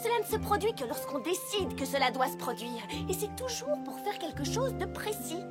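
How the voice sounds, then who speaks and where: Logical bookish pony girl, high voice, lively exasperated reasoning, loud music. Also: moderate pace. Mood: lecturing. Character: studious young female unicorn, logical and skeptical. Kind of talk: French cartoon dub.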